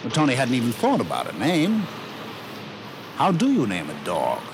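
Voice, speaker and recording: A man narrates a radio story in a lively, swinging voice of middle pitch. He phrases in measured lines with dramatic pauses and rhetorical questions, on a noisy 1950s broadcast recording.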